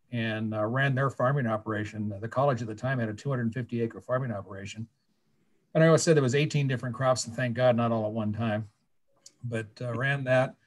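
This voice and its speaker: Plainspoken older man on a video call. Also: medium pitch. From California. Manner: plainspoken, wry asides. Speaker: guest panelist. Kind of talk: casual storytelling on a video call. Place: small office, indoors. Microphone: video call webcam.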